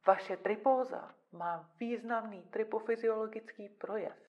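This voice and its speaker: A woman acts out a clinical, controlling female psychiatrist in a radio play. Her mid-pitched voice is measured and formal, with a patronizing calm. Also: muffled recording, close to an everyday voice. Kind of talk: dramatic audio drama dialogue.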